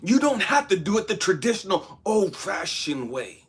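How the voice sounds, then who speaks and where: A man giving a blunt, profane motivational talk, shouting one moment and dropping to hushed asides the next. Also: high voice, very animated, normal speed, breathy edge. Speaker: motivational speaker. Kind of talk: impassioned motivational monologue.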